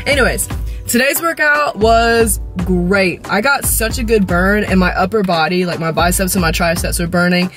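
A woman's voice: mid-range voice, moderate pace. A woman in her twenties with an American accent, chatting informally and using slang as she vlogs about workouts. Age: 20s. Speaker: fitness vlogger. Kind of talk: casual vlog chat explaining workouts. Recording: loud music behind the voice. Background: music.

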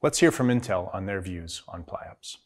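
Polished middle-aged man, low voice. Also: expressive, moderate pace. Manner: polished, promotional delivery. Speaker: company executive presenting a keynote. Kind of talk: scripted keynote presentation.

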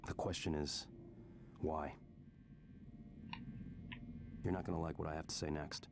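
An actor playing a calm, grave scientist leaving a matter-of-fact recorded briefing in a video game. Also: male voice, a bass voice, a little slow, vocal fry, noisy background, heavily acted. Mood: grave, explanatory. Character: calm male scientist briefing his amnesiac self on video.

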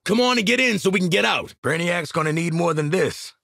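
A male actor playing a sardonic, confident mercenary marksman in a video game, with an American accent, husky voice and blunt, commanding manner. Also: high voice, expressive, fairly quick, a bit bright, no echo, clean studio sound, heavily acted. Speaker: squad fighter. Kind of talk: video game combat voice lines.

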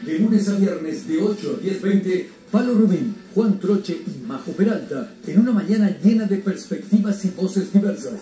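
Radio promo guy, punchy delivery, bit higher voice, music behind. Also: animated, moderate pace, mellow, reverberant. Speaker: station promo announcer. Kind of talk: scripted promo voice-over.

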